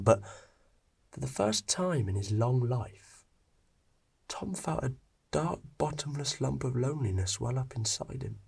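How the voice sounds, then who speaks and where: A man's voice: mid-range voice, vocal fry, a little mellow, husky. A man narrating a scripted story as its reader.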